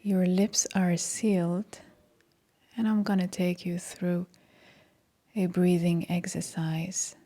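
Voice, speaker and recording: A woman guides a meditation at an extremely unhurried pace. Her voice is soft and breathy, with a mellow, relaxed tone and a slightly low pitch. She gives each instruction calmly and gently. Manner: calm, gentle, soothing. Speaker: meditation guide, yoga teacher.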